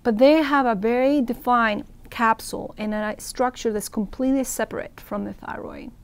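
Creaky-voiced woman from Ecuador explaining. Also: fairly high pitch, steady tone, normal speed. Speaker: interviewed surgeon. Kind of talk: explanatory medical interview. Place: indoor event room with banner backdrop.

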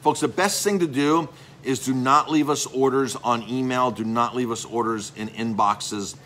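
A man's voice: medium pitch, fairly even intonation, moderate pace, fairly bright tone, a little hiss or hum. A middle-aged man, a chef and restaurant owner, giving a rambling, salesy live-stream update with lots of filler words.

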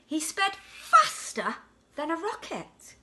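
A woman in her forties reads a picture book to children in an animated, deliberate way, leaning on the rhyming words. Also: very high-pitched, heavy background noise. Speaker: deputy headteacher reading a children's story. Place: indoors at home on a sofa.

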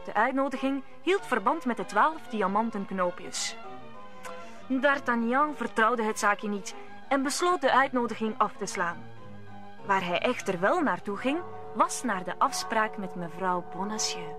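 A woman's voice: fairly high pitch, animated, a little slow, sharp tone. A woman narrator telling the story of a radio play in scripted storytelling style. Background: music.

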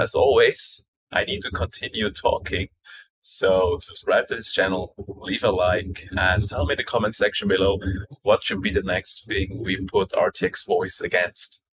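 A young man with a low voice presents a casual vlog to camera. He is sitting in a train carriage, with travel noise around him that is sometimes filtered out by noise suppression. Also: poor audio. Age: young adult. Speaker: YouTube presenter. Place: inside a moving train carriage.

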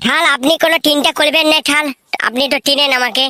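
Cocky cartoon schoolboy, rural West Bengal accent, piercingly bright, dry close sound, rambles proudly. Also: female voice, high voice, fast talker, no room sound. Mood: boastful, reassuring. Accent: rural West Bengal (Bankura). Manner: cocky, rambling, garbled pidgin English. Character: boastful, eager schoolboy mangling English answers. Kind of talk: comic cartoon voice acting.

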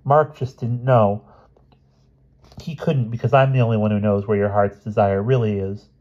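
A man's voice: lowish voice, fairly even intonation, moderate pace, muffled recording, lightly acted. A man voicing a confident, soothing young girl with a knowing, reassuring manner, in a storybook reading.

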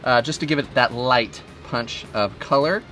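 A man's voice: medium pitch, moderate pace, sharp tone, slight background noise. A man in his thirties hosting a casual outdoor cooking show, talking in an upbeat, joking way as he cooks. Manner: upbeat, joking, quoting movie lines. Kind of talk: casual cooking demo with banter. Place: outdoor griddle kitchen with wood walls. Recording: griddle sizzle behind voice.

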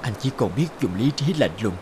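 A man playing a tender, heartbroken husband and father in a dramatic cartoon voice-over, measured and sorrowful. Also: medium pitch, expressive, noisy background, natural voice.

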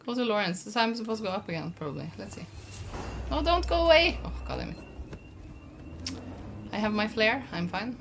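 A woman's voice: medium pitch, expressive, a little fast, creaky, pauses often, fairly bright tone, a little hiss or hum. A Norwegian woman speaks English with a Norwegian accent, giving casual commentary while she plays. She talks freely to her chat and swears often. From Norway. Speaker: game streamer.

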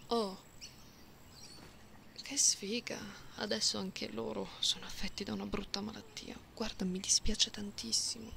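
A woman playing a gentle, playful cat-girl in an ASMR roleplay, speaking softly and intimately, often slipping into whispers. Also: mid-range voice, level tone, gravelly, heavily acted. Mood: playful, teasing. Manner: slow, soothing, intimate, teasing, with meows and purry hums. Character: gentle, playful genetically modified cat-girl comforting someone lonely. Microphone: close binaural microphone. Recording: close binaural recording with faint background noise.

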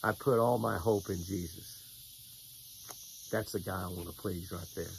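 Older man, gravelly voice, folksy preacher, repeats phrases, outdoors by a lake, faint insect noise. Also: sits low, fairly even intonation, measured pace, rough recording. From United States. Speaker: devotional host and fishing angler. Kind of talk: folksy devotional talk with fishing tip. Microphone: camera at close range.

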